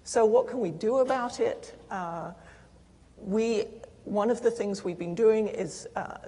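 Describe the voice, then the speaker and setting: A woman's voice: medium pitch, unhurried, warm tone. A woman speaking as a panelist. She explains earnestly, with fillers and restarts. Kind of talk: panel discussion answer. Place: conference hall. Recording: long hall reverb.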